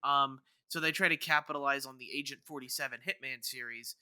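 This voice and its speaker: Male co-host, strikingly bright voice, dry close sound, casual stop-and-start podcast chat. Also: medium pitch, fairly even intonation, fairly quick, smooth, no room sound.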